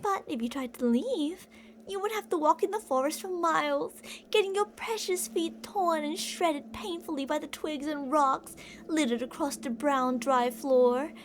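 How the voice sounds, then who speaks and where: A woman's voice: high voice, a little slow, a little hiss or hum. A woman playing an older, obsessive yandere kidnapper in an ASMR roleplay, with a theatrical, teasing sing-song manner, sweet-talking and menacing. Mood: musing, possessive.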